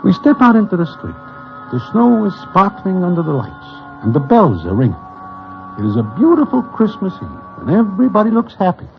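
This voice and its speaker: A high-pitched New sidekick, wary and loyal, with very swooping intonation. He tells his tale in stiff, formal wording, in old radio drama fashion, on a muffled recording. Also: brisk, creaky, faint music behind, full character voice. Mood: storytelling. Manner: formal wording without contractions, deadpan storytelling. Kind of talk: vintage radio drama acting and narration.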